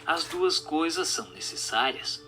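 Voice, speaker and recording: A man's voice: fairly high pitch, sing-song, unhurried. A man reads a scripted question-and-answer text in a formal, didactic manner. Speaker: narrator reading a spiritist book. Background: music.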